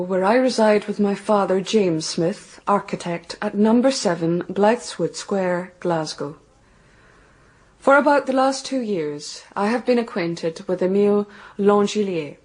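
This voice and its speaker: An actress playing a composed, guarded young Victorian woman in a radio drama, speaking quietly and politely with tight control. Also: medium pitch, unhurried, husky, rough recording. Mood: formal.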